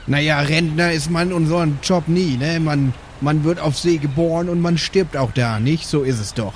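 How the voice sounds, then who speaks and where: A man's voice: a little high, normal speed, a little hiss or hum. A man improvising as a hearty, jokey old sailor in a tabletop game. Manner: hearty, jokey, boasts about food. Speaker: player voicing an old sailor. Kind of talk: improvised in-character roleplay.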